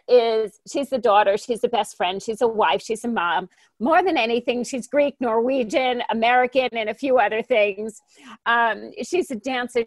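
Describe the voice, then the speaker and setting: Higher dry-voiced woman podcast host. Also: dry recording. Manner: chatty, self-correcting, stumbles over names. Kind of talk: podcast interview hosting.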